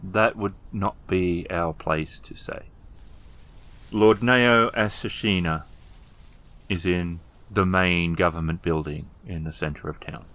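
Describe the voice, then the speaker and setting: A man narrating a tabletop role-playing game as game master, with a measured, deliberate delivery. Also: low voice, steady tone, fairly bright tone.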